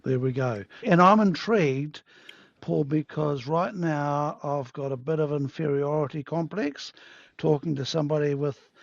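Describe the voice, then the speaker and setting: A man's voice: fairly high pitch, no echo. A man hosting a podcast interview, chatty and self-deprecating. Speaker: podcast host. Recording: dull, dry, lo-fi sound.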